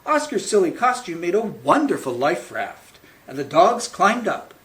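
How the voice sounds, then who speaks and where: A man reading a picture book aloud to children as a librarian at storytime, with theatrical, deliberate phrasing. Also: high-pitched, very animated, a bit bright.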